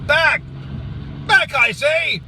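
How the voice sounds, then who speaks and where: A man voicing a frantic, shrill character shouting at an iguana. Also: lively intonation, very slow, background noise, heavily acted. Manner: frantic high-pitched shouting.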